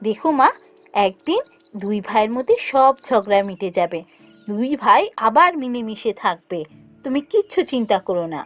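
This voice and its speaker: A woman's voice: fairly high pitch, sing-song, normal speed, soft background music. A woman voicing a caring, insistent young village wife in a cartoon, earnest and pleading. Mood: reassuring. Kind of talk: cartoon voice acting.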